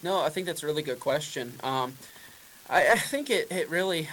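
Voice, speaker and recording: A man's voice: a little high, lively intonation, moderate pace, a bit bright, a little hiss or hum, rough recording. A man, a race car driver, answering press questions in a casual, rambling way with many fillers and restarts.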